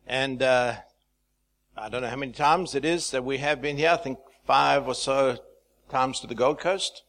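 A man in his fifties from Zimbabwe, a pastor speaking to a church, chatty and halting, with many fillers and restarts. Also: medium pitch, a bit bright. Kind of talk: sermon introduction.

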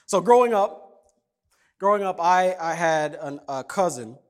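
A man's voice: sits high, unhurried, clear, resonant tone. A man from Kenya preaching a casual, jokey sermon and telling stories. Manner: conversational, jokey, animated. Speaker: pastor.

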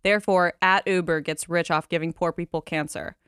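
A woman's voice: fairly low pitch, steady tone, brisk, fairly bright tone, smooth, dry recording. Young woman, podcast co-host, rambling, jokey, dry close sound. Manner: rambling, jokey, laughs often. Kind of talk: casual chat. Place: Los Angeles.

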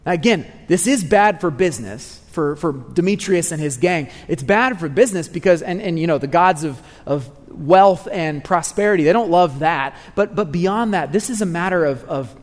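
Guy preaching, earnest, keeps repeating lines, kinda high voice, long hall echo. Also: expressive, normal speed. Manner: earnest, repeats phrases for emphasis. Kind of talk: sermon.

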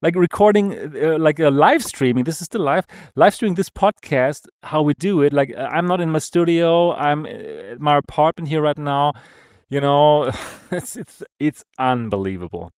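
A man's voice: a little high, moderate pace, a little mellow, no room sound, clean studio sound. German-accented man, laughing co-host. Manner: laughs often, many fillers and short agreements. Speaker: podcast co-host. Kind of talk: casual tech chat on a live podcast. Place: his apartment. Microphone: headset mic in a VR device.

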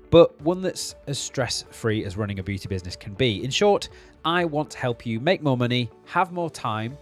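A man hosting a business podcast, a little hoarse from a cold, talking in an upbeat, encouraging and practical way. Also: medium pitch. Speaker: podcast host. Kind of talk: solo business podcast talk.

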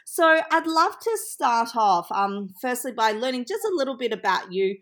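A woman's voice: a little high, very animated, normal speed, a bit bright. A woman hosting a business podcast, reading a prepared introduction in an upbeat way and then interviewing her guest. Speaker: podcast host.

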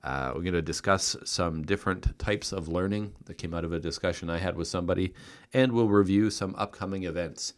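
Low-voiced man co-hosting a podcast. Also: fairly quick. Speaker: podcast co-host, company co-founder. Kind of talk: casual podcast chat.

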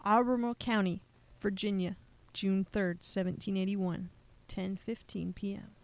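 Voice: medium pitch, little pitch movement, unhurried. Radio host narrating patriotic history.

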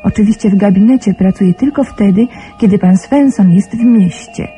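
An actress in a Polish radio drama playing a devoted, anxious young nurse, earnest and polite. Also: female voice, mid-range voice, moderate pace, warm tone, faint music behind, natural voice. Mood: composed, reflective.

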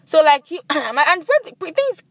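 A young woman with a Nigerian accent hosting a podcast in casual, animated chat. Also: very high-pitched, a little slow, dry recording, muffled recording. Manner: chatty, animated, laughs and switches into pidgin. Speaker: podcast host.

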